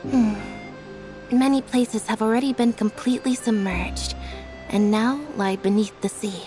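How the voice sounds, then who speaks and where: A woman voicing a calm, reserved young female magician's assistant in a video game, understated and matter-of-fact. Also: on the high side, unhurried, natural voice. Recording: background music under the voice.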